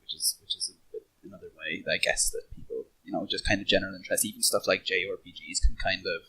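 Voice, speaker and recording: A man talking casually as a podcast panelist, haltingly, often repeating words as he thinks. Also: sits low, fairly even intonation, gravelly, pushed and bright, husky, poor audio.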